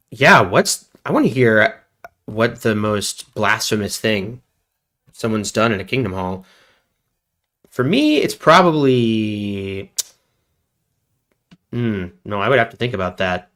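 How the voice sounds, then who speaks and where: A man with a fairly low voice hosts a casual livestream while sick with a cold. He rambles loosely, cracks jokes and pokes fun at himself. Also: a bit bright. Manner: rambling, joking, self-deprecating. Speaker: livestream host.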